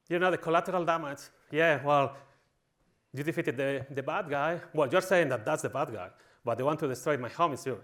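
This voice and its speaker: A man's voice: on the high side, fairly bright tone. A man from Spain with a Spanish accent, giving a humorous conference talk in a tongue-in-cheek, mock-villainous manner. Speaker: conference speaker.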